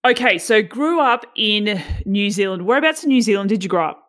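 A woman's voice: medium pitch, lively intonation, a little fast, a bit bright. Chatty woman interviewer, rambling questions. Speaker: interviewer and race commentator. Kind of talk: casual interview.

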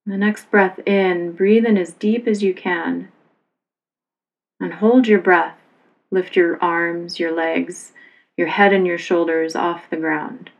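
A woman yoga instructor leading a guided relaxation meditation in a calm, soothing, unhurried manner. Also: on the low side, dull audio.

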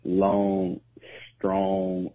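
A hoarse man who has lost his voice leaves a gloating, profane voicemail rant, cutting it like a wrestling promo. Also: low voice, completely flat delivery, extremely slow, no room sound. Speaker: voicemail caller. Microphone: phone. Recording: tinny phone voicemail.

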